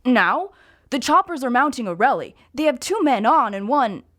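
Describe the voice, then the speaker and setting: A woman voicing a fussy, shrewd male amateur sleuth with a pedantic, deliberate manner, in a read mystery story. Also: sits high, very animated, a bit bright. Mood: reluctant. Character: fussy, shrewd man who sleuths and walks with a cane.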